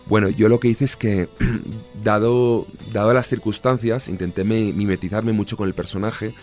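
Spanish-accented man, 30s, deep low register, relaxed talker who restarts often; faint music behind. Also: normal speed. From Spain. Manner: easygoing, chatty, with frequent restarts. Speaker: actor guest. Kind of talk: relaxed interview answers.

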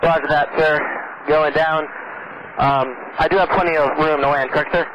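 Young man, student pilot, slightly high voice, polite, stressed, aircraft radio, clear background noise. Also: steady tone, moderate pace. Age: young adult. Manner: polite, deferential. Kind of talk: aviation radio calls to air traffic control. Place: small aircraft cockpit. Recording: noisy muffled cockpit radio transmission.

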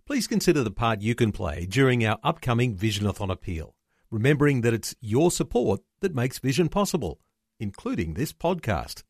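A man's voice: medium pitch, big pitch swings, normal speed, husky, no room sound. A man with an Australian accent reading a scripted promotional appeal as a chief executive, close to the microphone. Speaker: media organisation chief executive.

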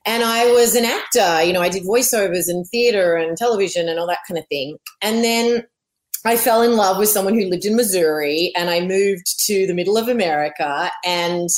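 A woman in her forties with an Australian accent, telling her story as a guest in a casual interview, animated and laughing often. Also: medium pitch, normal speed.

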